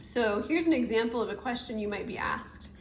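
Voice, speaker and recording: A woman's voice: on the high side, lively intonation, brisk. A woman teacher giving a casual, explanatory step-by-step tutorial, recorded indoors at a desk. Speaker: chemistry teacher. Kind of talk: informal step-by-step tutorial narration. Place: indoor room, at a desk over paper. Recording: roomy echo with noticeable background noise.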